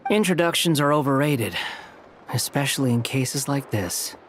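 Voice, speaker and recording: A man's voice: medium pitch, lively intonation, moderate pace, a bit bright, a little hiss or hum, natural voice. A man playing an aloof, curt young scholar with a dry, dismissive manner in a video game. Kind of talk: scripted video game dialogue.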